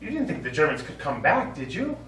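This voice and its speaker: An actor on stage playing an aging, apologetic American war veteran, hesitant and earnest, turning defensive. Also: male voice, on the high side, sing-song, brisk, a bit bright. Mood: defensive, stunned. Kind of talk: stage play acting. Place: small theatre studio, recorded from afar. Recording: echoey, distant stage recording with hiss.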